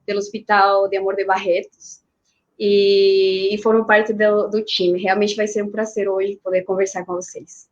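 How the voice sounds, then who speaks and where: A woman from Peru, a Spanish native speaker, speaking Portuguese with a Peruvian accent as a guest urologist in an online panel, measured and often checking in with "né" and "tá". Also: medium pitch, lo-fi sound, slight background noise.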